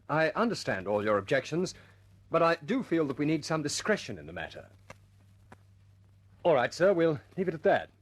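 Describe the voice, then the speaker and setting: Brisk, high-pitched squadron leader. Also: male voice. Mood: deferential, firm. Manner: clipped, commanding, chummy officer talk. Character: brisk male RAF squadron leader, clipped and courteous. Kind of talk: wartime radio drama acting. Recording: old radio recording, background hiss and drone.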